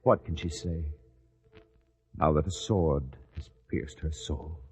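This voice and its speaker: A mournful young disciple. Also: male voice, extremely low pitch, steady tone, slow pace. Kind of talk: vintage radio drama acting. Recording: dull radio drama recording with music.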